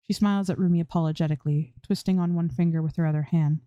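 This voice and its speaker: A woman's voice: fairly low pitch, fairly even intonation, fast talker, dark tone, smooth, no room sound, muffled recording. A woman reading fan fiction aloud as scripted audiobook-style narration, close to the microphone.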